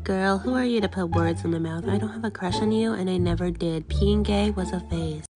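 A woman voicing a blunt, deadpan teenage girl in a parody fan dub of a cartoon. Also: medium pitch, fairly quick, music in the background, natural voice. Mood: flat, dismissive.

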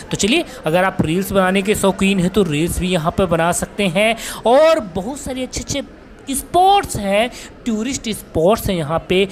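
A man giving a chatty walking-tour narration as a travel vlogger, often rephrasing himself as he goes. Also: high-pitched.

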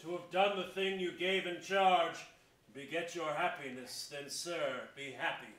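A man's voice: on the high side, measured pace, fairly bright tone. A middle-aged man playing an obedient hired murderer, deferential and plain-spoken, in a Shakespearean stage performance. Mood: dutiful. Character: obedient hired murderer, humble before his king. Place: stage of a theatre. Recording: roomy theatre with faint noise.

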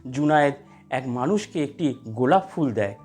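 A man narrating a scripted animated story in an expressive storytelling manner. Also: medium pitch, moderate pace, slightly rough. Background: music.

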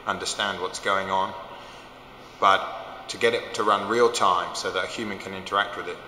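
Low bright man in echoey hall. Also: steady tone. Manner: measured, explanatory, with fillers. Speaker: company chief executive. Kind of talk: corporate video interview. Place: large indoor simulator hall. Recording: echoey hall with faint hum.

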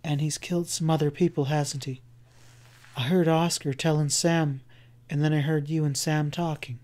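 A man softly voicing a weak, feverish young woman. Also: on the high side, fairly even intonation, measured pace, natural voice. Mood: weak, pleading. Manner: soft, pleading, breathy and faint.

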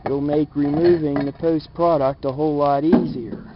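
A man's voice: fairly high pitch, fairly even intonation, moderate pace. A man narrating a casual experiment vlog as its host, in a jokey, mock-affectionate way. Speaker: video show host. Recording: dull lo-fi camera audio, background noise.

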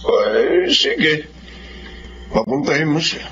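A man's voice: on the high side, wildly swooping pitch, takes it slowly, vocal fry, a bit bright, faint music behind, heavily acted. An actor playing a genial, fatherly middle-aged uncle in a Filipino radio drama. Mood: fatherly, concerned. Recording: muffled radio sound, faint noise.

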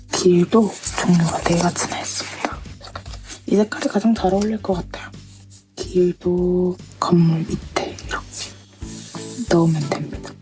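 Woman, lower voice, a bit rough from a cold, easygoing craft vlog chatter over music. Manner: chatty, thinks aloud, asks herself questions, soft asides. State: has a cold, voice a little rough. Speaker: stationery craft vlogger. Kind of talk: casual narration while crafting.

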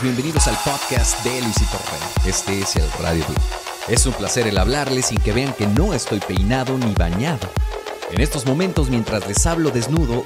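A Mexican man hosts a comedy podcast with a very animated, swinging intonation. He reads his scripted monologue in a tongue-in-cheek, mock-formal manner, over clear music. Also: medium pitch. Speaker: podcast host.

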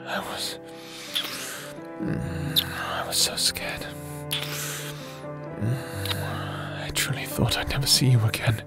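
A man's voice: mid-range voice, drawn-out words, pauses often, loud music behind the voice. A man playing a doting, villainous necromancer husband in a romantic ASMR audio drama, speaking in a theatrical, formal and tender manner. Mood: tender, relieved.

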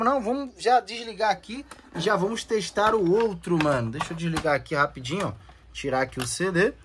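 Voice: medium pitch, slight background noise. A vlog host with a Brazilian accent and an informal, playful manner, casually talking viewers through hands-on testing of game consoles in slangy speech. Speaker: host of a gaming video channel.

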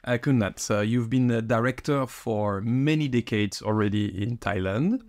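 A man's voice: mid-range voice, moderate pace, a little mellow, no room sound. A middle-aged man hosting a podcast, putting questions to his guest in a conversational way. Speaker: podcast host. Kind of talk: conversational interviewing. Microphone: studio microphone.

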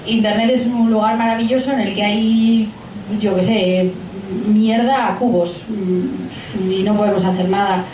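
A woman in her late twenties with an accent from Spain talks informally to a gathering. Her voice sits at an average pitch. It comes through an echoey room, picked up from a distance with faint noise. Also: steady tone, unhurried, darkish tone. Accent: Spain Spanish. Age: late 20s. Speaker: guest speaker, science and tech journalist. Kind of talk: informal talk and answering audience questions. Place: small pub event room, indoors. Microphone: handheld PA mic, picked up by a camera.

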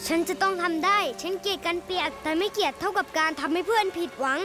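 Young boy cartoon cat hero, played by a woman: extremely high, brave, declamatory, over prominent music. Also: quick pace. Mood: determined. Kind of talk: animated children's cartoon dub. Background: loud music.

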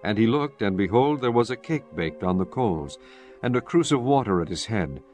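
A man narrating a dramatized Bible from a script, solemn and measured in his storytelling. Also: lowish voice, expressive, a little mellow. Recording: music bed under the voice. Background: background music.

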